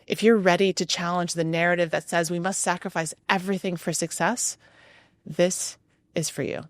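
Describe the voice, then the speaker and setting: A middle-aged woman hosts her podcast in a low, earnest voice. Her first language is Arabic. She delivers a scripted monologue in rhythmic lists with emphatic pauses, and the sound is dry and close. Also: steady tone, dry recording. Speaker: podcast host. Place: hotel room.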